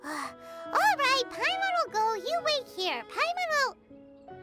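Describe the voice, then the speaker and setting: A woman's voice: wildly swooping pitch, takes it slowly, exaggerated voice. A woman voicing a small, chirpy, nosy female fairy companion in a video game, who speaks of herself by name. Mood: bossy. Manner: talks of herself by name, high and bouncy. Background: game music.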